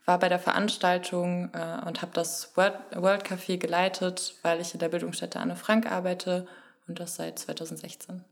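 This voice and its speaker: Twenties woman, low flat voice, filler-heavy discussion. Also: moderate pace. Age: 20s. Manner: hesitant, many fillers. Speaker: law student, panelist. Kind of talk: casual discussion.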